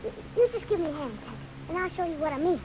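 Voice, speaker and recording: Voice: very high-pitched, animated, fast talker. An actor playing a plucky, clever young boy in a 1950s radio western, speaking eagerly and earnestly. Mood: eager, scheming. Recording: noisy muffled old radio recording.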